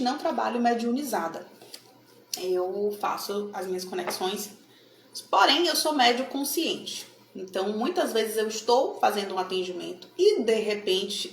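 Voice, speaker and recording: Woman, Bahian accent, middle-aged, chatty, tag questions, gravelly, echoey room, faint hiss. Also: a little high. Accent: Bahian Brazilian Portuguese. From Salvador, Bahia, Brazil. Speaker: tarot reader and channel host. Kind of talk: casual explanatory talk to camera. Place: room at home with a plant and altar.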